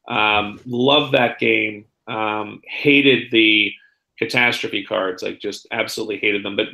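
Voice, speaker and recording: A man's voice: lowish voice, gravelly, bright, forceful tone. A man chatting casually and enthusiastically about board games, speaking with emphasis as a guest on a talk show. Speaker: podcast guest presenting a top ten list. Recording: roomy echo on the voice.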